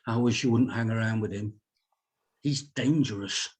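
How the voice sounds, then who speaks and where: A man playing a gentle, worried doctor and father in a screenplay table read. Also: fairly low pitch, normal speed, natural voice. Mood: mild, concerned. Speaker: script reader.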